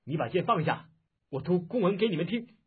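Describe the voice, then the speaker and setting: Narrator playing a pompous officer; loud barked orders, high-pitched bursts, phone-like sound. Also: male voice, big pitch swings, fairly quick, husky. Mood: uneasy. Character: blustering male imperial army officer. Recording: lo-fi phone-like audio.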